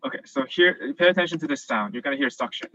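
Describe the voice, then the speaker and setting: A man's voice: mid-range voice, expressive, fast, muffled recording, background noise. A young man who grew up in South Korea and is now a dental student, explaining cases casually with lots of fillers over a video call. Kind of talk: virtual presentation and Q&A.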